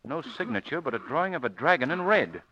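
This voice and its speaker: A man playing a confident gentleman sleuth in a 1940s radio drama, with a brisk, authoritative manner. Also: mid-range voice, big pitch swings, muffled recording. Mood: intrigued, wry.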